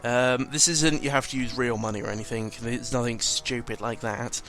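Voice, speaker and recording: A man's voice: mid-range voice, fairly even intonation, normal speed, fairly bright tone. Sweary, rambling man talking over music. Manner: informal, sweary, rambling, self-correcting. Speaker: gaming video commentator. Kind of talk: casual rambling game commentary over footage.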